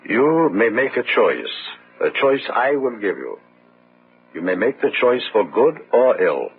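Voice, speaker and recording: An actor playing the Red Death, a pitiless, solemn judge who pronounces doom in slow, commanding phrases in a gothic radio drama. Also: male voice, mid-range voice, very animated, breathy edge, exaggerated voice. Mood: solemn, menacing. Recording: muffled, noisy old radio drama recording.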